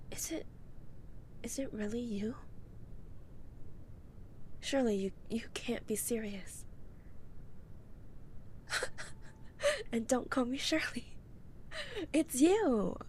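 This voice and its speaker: A woman playing a college-age goth friend in an ASMR roleplay, speaking softly, often in a breathy near-whisper, with playful, dry teasing. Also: on the high side, a little hiss or hum. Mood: puzzled, disbelieving, then excited.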